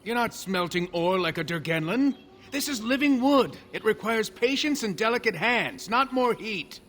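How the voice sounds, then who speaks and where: An actor playing a proud, fussy elven master craftsman in a video game, speaking formally and with measured scolding. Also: sits high, animated, a bit bright, slight background noise. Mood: stern, exasperated. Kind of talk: video game character dialogue.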